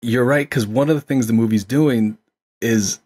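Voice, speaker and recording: A man's voice: mid-range voice, moderate pace, darkish tone, slightly rough. A man co-hosting a casual film discussion, talking in an agreeable, enthusiastic, conversational way. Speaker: podcast co-host discussing films.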